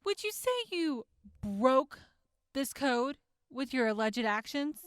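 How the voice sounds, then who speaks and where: A woman's voice: sits high, expressive, slow, clean tone, dry recording, studio quality. An actress playing a composed woman prosecutor who questions formally and pointedly in an audio drama courtroom. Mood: cool, pointed.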